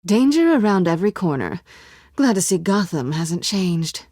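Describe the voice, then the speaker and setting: A woman's voice: lowish voice, big pitch swings, moderate pace, dark tone, no echo, studio quality. A woman voicing a sly, self-assured cat burglar in a video game, with a sultry, wry, coolly teasing way of talking. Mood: wary, dry. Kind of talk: video game voice acting, dramatic dialogue.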